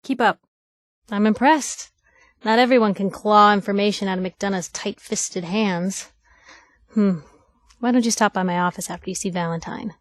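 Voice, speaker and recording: A brash young newswoman from a video game, played by an actress, sarcastic yet caring, in a medium-pitched voice. She talks in an expressive, conversational way, close and dry with no room around her. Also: moderate pace, no room sound, lightly acted. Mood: brisk, encouraging. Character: feisty, sarcastic young woman reporter, tough but caring companion. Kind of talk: video game companion voice lines.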